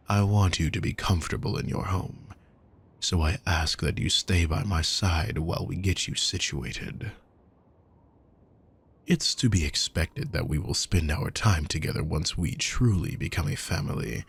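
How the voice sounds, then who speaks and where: Man acting a deep, gravelly possessive villain. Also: exaggerated voice. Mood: possessive, gentle. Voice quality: low, gravelly, husky. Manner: measured, formal, softly menacing. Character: possessive wealthy villain kidnapper, male, calm, courtly, manipulative. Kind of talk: intimate scripted roleplay audio.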